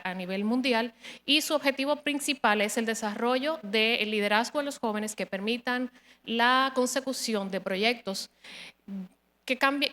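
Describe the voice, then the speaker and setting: A woman from the Dominican Republic in her thirties, giving a formal, measured interview presentation as a candidate for a judgeship, with frequent hesitations. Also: a little high, fairly bright tone. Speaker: judicial candidate. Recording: long hall reverb.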